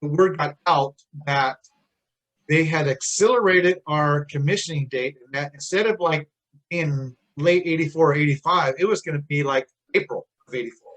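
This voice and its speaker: A man's voice: fairly high pitch, moderate pace, a bit bright, low-quality recording. A man in his sixties doing a casual interview, talking in a chatty, rambling way. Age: 60s. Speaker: interviewer, Navy veteran.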